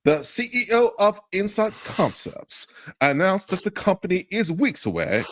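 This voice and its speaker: A man's voice: sits high, sing-song, moderate pace, fairly bright tone. A man giving a dramatic, mock-grand reading of a lawsuit. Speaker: guest commentator.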